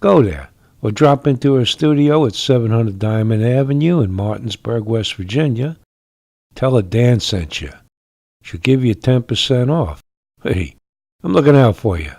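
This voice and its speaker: A man speaks close and dry on the mic at a medium pitch. He reads an ad casually, slangy and streetwise, as if letting the listener in on a secret. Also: animated, normal speed, darkish tone, no room sound. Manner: slangy, streetwise direct address. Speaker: advertiser. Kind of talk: casual, conspiratorial ad read.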